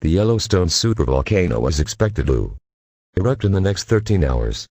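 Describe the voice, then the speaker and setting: Male emergency alert announcer, synthetic, extremely low and husky, dry sound. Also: very animated, normal speed, darkish tone, no echo. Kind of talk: scripted emergency broadcast narration.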